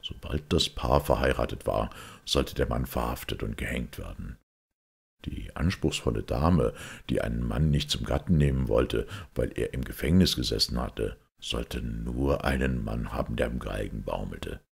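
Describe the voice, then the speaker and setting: A man with a gravelly voice reading a detective story as scripted narration. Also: a bass voice, moderate pace. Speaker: audiobook reader.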